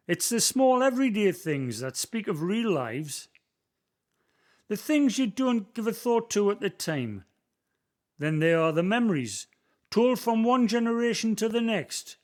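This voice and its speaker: An actor playing an old male ghost of the valley, speaking slowly and gently like someone reciting a poem. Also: sits high, expressive. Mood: wry. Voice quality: slow, measured, poetic recitation. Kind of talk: community radio play acting.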